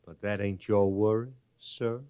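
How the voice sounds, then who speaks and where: A low-pitched, needling prisoner turned army private played by a man in an old radio western. He talks in a folksy, ungrammatical drawl that challenges authority. The recording is muffled and vintage. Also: level tone, a little slow, clean tone, no echo, lightly acted. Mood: sullen, needling.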